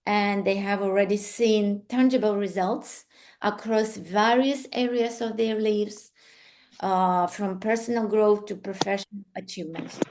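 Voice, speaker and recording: A woman from Ukraine speaks as a course instructor, her English clearly Ukrainian-accented. She goes slowly and haltingly through an informal class introduction, in a mid-pitched voice. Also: a little mellow. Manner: hesitant, halting non-fluent English. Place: online video call with screen sharing.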